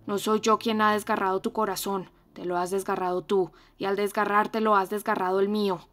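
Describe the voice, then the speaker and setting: A woman reading an audiobook voices a fierce, anguished young man, speaking loud, harsh and accusing. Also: medium pitch, flat delivery, a little fast, close to an everyday voice. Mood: bitter accusing.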